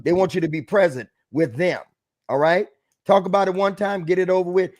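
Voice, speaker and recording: A man's voice: high voice, fairly even intonation, brisk. A man as a guest author giving emphatic, sermon-like advice, calling out to the audience with rhetorical questions.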